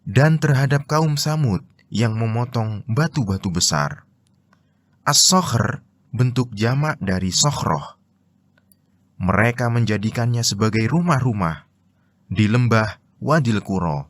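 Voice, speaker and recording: A man's voice: mid-range voice, animated, a little slow, long pauses, no echo. A man with an Indonesian accent reading religious commentary as formal scripted narration.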